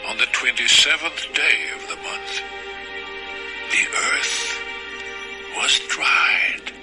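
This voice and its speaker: A man narrating dramatized scripture with solemn, deliberate phrasing. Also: mid-range voice. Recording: music under the voice.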